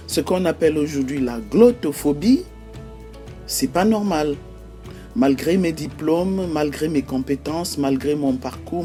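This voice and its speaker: A woman with a Cameroonian accent talks her viewers through examples in an unhurried, deliberate vlog delivery. She moves from point to point with small hesitations. Soft music plays quietly underneath. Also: low-pitched. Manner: measured, lists examples one by one with frequent hesitations. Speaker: YouTube host. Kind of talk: explanatory vlog talk.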